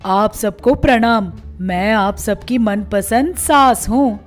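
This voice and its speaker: A woman voicing a friendly mother-in-law mascot who greets viewers in a slow, welcoming, inviting way. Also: medium pitch, faint music behind, natural voice.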